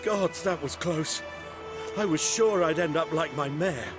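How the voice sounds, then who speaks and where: A man's voice: on the high side, a little slow, lightly acted. An actor playing a shaken, grateful village man in a video game cutscene, talking hesitantly but eager to help. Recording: game audio with music behind.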